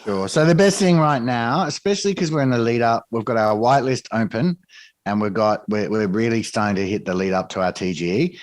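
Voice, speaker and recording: A man with an Australian accent, a guest on an interview, talking briskly and emphatically and often ending points with a tag question. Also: medium pitch, no echo. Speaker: guest, crypto project team member. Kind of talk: casual interview talk.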